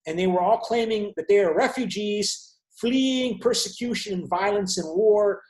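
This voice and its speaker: A man's voice: high voice, darkish tone, echoey room. Man lecturing a class over video call. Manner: explanatory, checks in with students often. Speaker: university lecturer.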